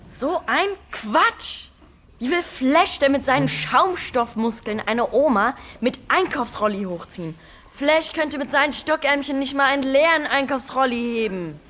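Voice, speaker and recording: A woman's voice: high voice, animated, unhurried, a bit bright. A woman playing a cocky, grumbling schoolboy superhero pupil in a children's radio play, boastful and mocking.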